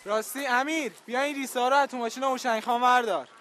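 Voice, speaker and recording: A man's voice: very high-pitched, steady tone, bright, forceful tone, a little hiss or hum. A man playing a polite, obliging young man who gives brief, courteous replies.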